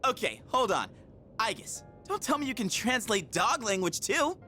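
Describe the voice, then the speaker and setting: An actress playing a sceptical, wry teenager in a video game, casual and incredulous in manner. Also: female voice, a little high, very animated, moderate pace, pushed and bright, faint music behind.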